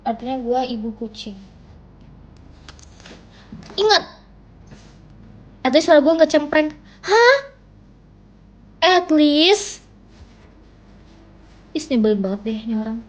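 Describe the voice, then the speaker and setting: A young woman chats playfully on a phone livestream, teasing and poking fun at herself. Her voice sits a little high and she talks at an unhurried, drawn-out pace. The sound is dull and lo-fi, like a phone mic. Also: lively intonation. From Indonesia. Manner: playful, teasing, self-mocking banter. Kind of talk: casual chat. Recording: dull lo-fi phone stream audio.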